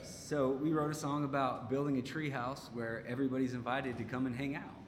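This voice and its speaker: A man's voice: medium pitch, little pitch movement, brisk. A man from Texas, a musician, making casual stage banter in a live venue. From Texas, United States. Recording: roomy, echoing live venue recording.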